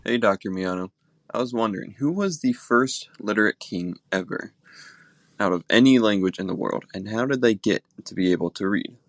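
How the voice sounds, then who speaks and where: Low-voiced man leaving a casual message. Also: animated, moderate pace, dry recording. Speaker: viewer leaving a voicemail question. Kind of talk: casual spoken question.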